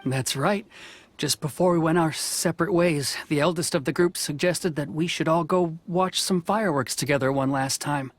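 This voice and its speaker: An actor playing a modest, earnest middle-aged boatbuilder who speaks politely and reassuringly. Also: male voice, a little high, moderate pace, no room sound, natural voice.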